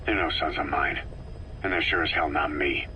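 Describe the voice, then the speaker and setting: Super deep grim soldier boss from a game, clipped and stoic, faint hiss in the audio. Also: male voice, steady tone, moderate pace, bright, forceful tone, heavily acted, rough recording. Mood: bitter, cold. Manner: terse, clipped replies. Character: grim, battle-hardened male soldier boss, terse and stoic. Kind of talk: dramatic video game cutscene acting.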